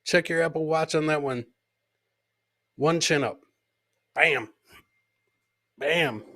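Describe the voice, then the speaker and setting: A man's voice: a little high, takes it slowly, bright, forceful tone. A man hosting a comedy podcast, giving joking commentary on clips, repeating his phrases for effect and mimicking the people he talks about. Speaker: host of a live comedy podcast.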